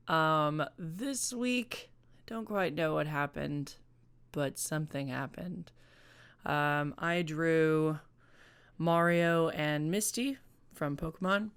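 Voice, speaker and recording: A woman's voice: low voice, gravelly, smooth. A woman presenting and narrating a comedic fan-written story in a casual style. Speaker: presenter reading own fan fiction.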